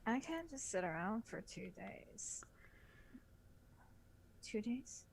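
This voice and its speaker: A woman's voice: fairly high pitch, very animated, slow pace, vocal fry. Blunt woman co-reader, faint background noise. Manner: blunt, profane, mocking. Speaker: book club co-reader. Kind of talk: dramatic reading with joking commentary.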